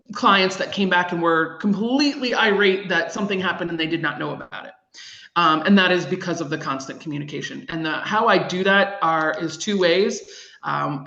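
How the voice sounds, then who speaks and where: A woman's voice: fairly low pitch, fairly bright tone. A woman, a kids program director, explaining her methods informally on a group call and repeating phrases for emphasis. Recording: roomy, dull call audio.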